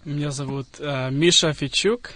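A man in his twenties from Moldova, a student guest giving casual, halting answers in a radio interview. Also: fairly high pitch, steady tone, bright, forceful tone. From Moldova, later United States. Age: 20s. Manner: halting, hesitant delivery. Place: radio studio.

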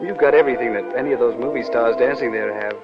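Man playing adoring sweetheart over loud music. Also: medium pitch, quick pace, close to an everyday voice. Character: adoring, earnest young sweetheart in a radio advert. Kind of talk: 1930s radio advert acting. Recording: muffled old radio, loud music behind.

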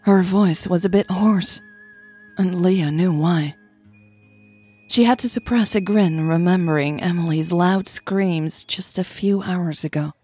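A woman's voice: fairly low pitch, unhurried, darkish tone, slightly rough, soft background music. A woman narrating scripted romantic fiction as a storyteller.

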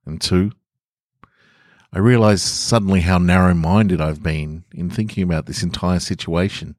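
A man reading a first-person story as a reflective, tender father, measured and earnest. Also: low-pitched, a little mellow, no room sound, studio quality, natural voice.

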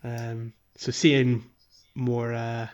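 A man's voice: medium pitch, completely flat delivery, extremely slow, darkish tone. Guy on a gaming podcast, bit muffled, mid voice, kinda rambly and thoughtful, casual chat. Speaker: podcast co-host.

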